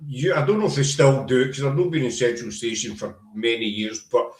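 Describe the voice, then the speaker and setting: A man's voice: mid-range voice, expressive, brisk. A man from Glasgow with a Scottish accent, hosting a casual chat and talking in a chatty, rambling, emphatic way. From Scotland. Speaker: podcast host. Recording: roomy echo.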